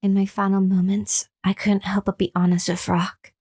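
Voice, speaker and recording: A captive, wounded woman in a horror radio drama. She recounts an obsessive lover's abuse in a medium-pitched voice, as first-person story narration with acted dialogue. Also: completely flat delivery, normal speed, a little mellow, breathy edge. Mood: somber, reflective.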